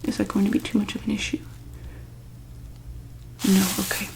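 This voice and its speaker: A woman softly speaking and whispering in an ASMR roleplay as a calm, gentle doctor, with hesitant, reassuring bedside talk. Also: mid-range voice, normal speed, background noise. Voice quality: soft, breathy, often dropping into whisper. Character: calm, attentive doctor at a monster hospital. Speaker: ASMR roleplayer playing a medical examiner.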